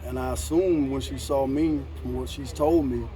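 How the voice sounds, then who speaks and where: An American man hosting a casual outdoor conversation, relaxed and repeating his phrases. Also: mid-range voice, moderate pace, a little hiss or hum, low-quality recording. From United States. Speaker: host.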